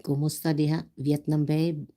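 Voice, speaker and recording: A host from the Philippines with a Filipino accent, chatting casually and quietly on a livestream from home. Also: mid-range voice, flat delivery, normal speed. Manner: speaking softly and quietly to avoid disturbing neighbors. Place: home, indoors.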